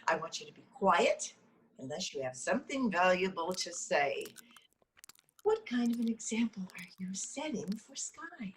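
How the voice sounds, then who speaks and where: A woman's voice: medium pitch, sing-song, moderate pace, a little hiss or hum, close to an everyday voice. A woman playing a frazzled, defensive, lovestruck goddess in a comedy table reading, flustered and insistent. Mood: scolding. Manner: flustered, insistent, nervous laughs. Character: frazzled, defensive, delusional lovestruck goddess Luella, meeting leader.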